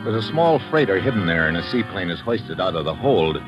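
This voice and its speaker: A tough American pilot from a vintage radio adventure. He sounds cocky and slangy, in a lowish voice, with music in the recording. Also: sing-song, fairly quick, lo-fi sound. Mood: brisk narration. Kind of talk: old-time radio drama acting and first-person narration.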